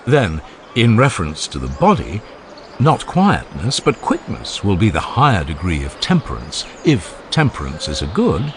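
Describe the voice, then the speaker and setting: Man playing a deep-voiced, animated philosopher. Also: background noise, full character voice. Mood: reasoning. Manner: measured rhetorical questioning, formal. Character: older male philosopher, probing, courteous, patient questioner. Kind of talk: dramatized philosophical dialogue.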